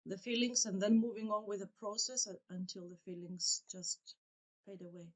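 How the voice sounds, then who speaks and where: Soft-spoken Greek-native woman guest. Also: medium pitch, fairly even intonation, normal speed. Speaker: English teacher, guest. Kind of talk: casual interview answers.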